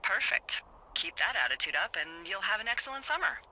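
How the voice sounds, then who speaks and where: Wry female lookout, bossy yet conversational, crisp-edged bright voice, walkie-talkie effect, light background hiss, naturalistic video game dialogue. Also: mid-range voice, very animated, fairly quick, low-quality recording. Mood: concerned, wry. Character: adult woman fire lookout, wry, teasing, candid, bossy. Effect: walkie-talkie radio. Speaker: fire lookout supervisor.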